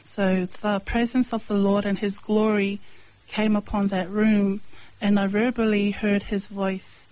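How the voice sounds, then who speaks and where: A woman from Samoa, raised in New Zealand, sharing her faith testimony as an interview guest in plain, halting sentences with short answers. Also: medium pitch, level tone, a little mellow, dry recording, low-quality recording. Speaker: guest, hip-hop artist and evangelist. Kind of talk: earnest personal testimony.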